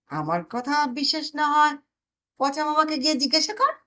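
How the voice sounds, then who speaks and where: A woman's voice: high-pitched, expressive, normal speed, sharp tone, pitched above the performer's own voice. A woman voicing a cocky teenage boy who spins boastful tall tales in a scornful, emphatic way for an audio story.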